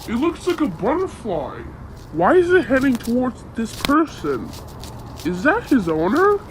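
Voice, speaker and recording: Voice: mid-range voice, background noise. A person acting an alarmed, bewildered onlooker in an amateur disaster drama, speaking hesitantly with exclamations. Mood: puzzled. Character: bewildered, alarmed onlooker watching butterflies attack.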